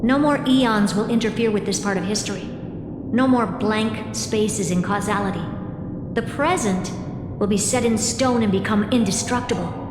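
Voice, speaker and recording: An actress playing a solemn, enigmatic oracle in a video game, speaking in a measured, cryptic way. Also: female voice, mid-range voice, noisy background, close to an everyday voice. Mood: grave, measured. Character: solemn, enigmatic female oracle explaining fate to another. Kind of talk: dramatic video game story dialogue. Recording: echoey reverberant hall, music underneath.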